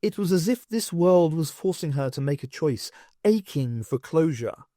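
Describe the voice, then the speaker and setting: Lively male audiobook narrator. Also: a little high, moderate pace, no echo, clean studio sound. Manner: lively, expressive reading. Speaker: audiobook reader. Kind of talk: storybook narration.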